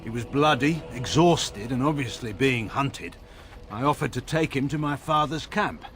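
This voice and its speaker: A man playing a proud, weary, sardonic veteran warrior nobleman in a fantasy video game, speaking in a wry, grave and measured way with formal phrasing. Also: mid-range voice, expressive, noisy background, lightly acted. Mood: reminiscing, earnest. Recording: studio voice with game music behind. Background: music.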